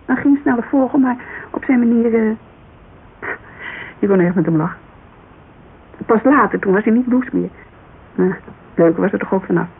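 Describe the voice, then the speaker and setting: A woman's voice: medium pitch, moderate pace, gravelly, long pauses, close to an everyday voice. An actress playing a guarded, candid agency owner in her thirties in a naturalistic Dutch radio drama, speaking colloquially and searching for words. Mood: fond, wistful. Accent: Dutch, Netherlands. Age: 30s. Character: thirty-three-year-old Amsterdam model agency owner, guarded, wistful, candid. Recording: narrow, hissy old radio recording.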